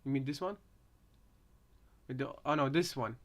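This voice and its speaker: A man's voice: medium pitch, moderate pace. A man chatting casually on a live reaction stream, rambling hesitantly and often repeating his phrases. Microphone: headset.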